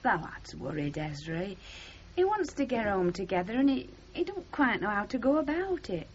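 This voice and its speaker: An actress playing a warm, protective, fussing middle-aged working-class mother with a Northern English accent in a 1970s radio drama. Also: medium pitch, big pitch swings, moderate pace, slight background noise, natural voice. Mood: protective, worried. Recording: dull old radio recording.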